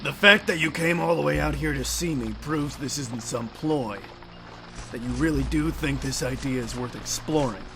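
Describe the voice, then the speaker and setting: A man's voice: a little high, normal speed, lightly acted. An actor playing a shrewd, folksy, grizzled leader of vampire-hunting outlaws in a fantasy audio drama, needling as he talks. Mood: mocking. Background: music.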